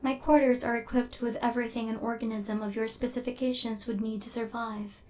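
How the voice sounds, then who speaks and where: A woman voicing a coldly logical but tenderly possessive female killer robot in an ASMR roleplay, with formal, deadpan, machine-like phrasing. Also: on the high side, a little fast, slight background noise, lightly acted. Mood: matter-of-fact, caring.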